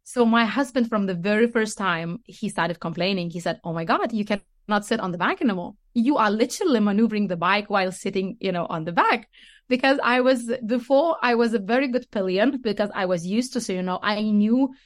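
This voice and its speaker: A woman's voice: mid-range voice, fairly quick. Talkative Lithuanian woman in an interview. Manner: talkative, explanatory, often says "you know" and "right". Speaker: podcast guest, motorcycle rider. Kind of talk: casual interview chat.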